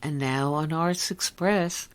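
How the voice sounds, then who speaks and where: A woman radio announcer reading a scripted show introduction in a deliberate, dramatic way. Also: sits low.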